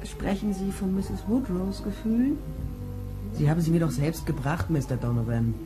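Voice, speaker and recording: A woman's voice: on the low side, normal speed, over music, close to an everyday voice. An actress playing a tall, sardonic female private detective in a radio play, dry and challenging as she talks and narrates. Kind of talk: radio play dialogue and first-person narration.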